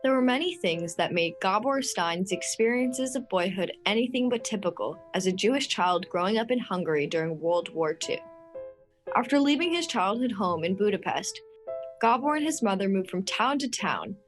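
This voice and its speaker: Woman narrating a scripted story, mid pitch, faint music in the back. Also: lively intonation, normal speed.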